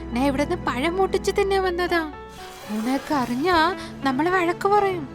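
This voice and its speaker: A woman voicing a cheeky young daughter who talks playfully in an animated storybook, over music. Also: extremely high pitch, moderate pace. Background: background music.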